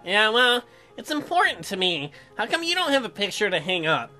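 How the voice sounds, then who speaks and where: A man voicing a sulky, easily hurt young husband in a visual novel, plaintive and halting. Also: high voice, very animated, pushed and bright, soft background music, full character voice. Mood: hurt, accusing. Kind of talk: exaggerated visual novel dialogue reading.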